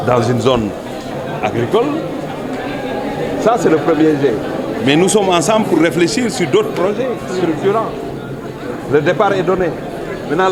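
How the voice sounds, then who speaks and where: Senegalese man speaking over a busy crowd. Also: a little high. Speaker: local official. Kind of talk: public statement to press. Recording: busy crowd behind, urban public space.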